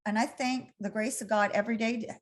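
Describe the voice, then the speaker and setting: A woman's voice: fairly high pitch, fairly even intonation, fast. A woman in her sixties, a guest on a talk show, telling her story in an earnest, chatty way. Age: 60s. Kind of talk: casual interview chat.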